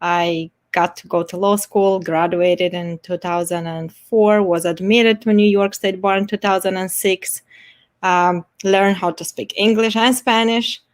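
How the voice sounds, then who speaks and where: A woman's voice: mid-range voice, fairly even intonation, unhurried. A woman in her forties from Moldova, a native Romanian speaker with a Moldovan accent, chatting earnestly as a game show contestant over a video call. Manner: chatty, earnest, laughs often. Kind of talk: casual self-introduction.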